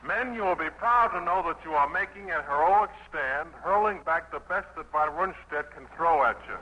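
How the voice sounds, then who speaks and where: An actor playing a sardonic American GI in combat, talking sarcastically in a 1950s radio drama. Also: male voice, on the high side, moderate pace, lightly acted. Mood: dry, mocking. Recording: dull, faintly noisy 1950s radio broadcast.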